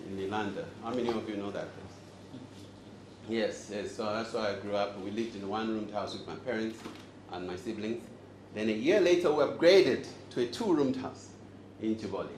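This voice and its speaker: Zambian guy talking to a live crowd, lowish voice, jokes a lot, echoey room with noise behind. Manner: joking and engaging, quizzes the crowd. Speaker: host and speaker. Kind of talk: live talk to an audience. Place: event room with audience.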